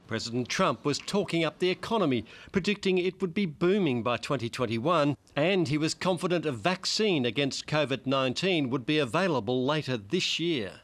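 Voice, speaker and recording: A man's voice: a little high, lively intonation, moderate pace, few pauses, a bit bright. An Australian man narrating a scripted TV news report as a foreign correspondent.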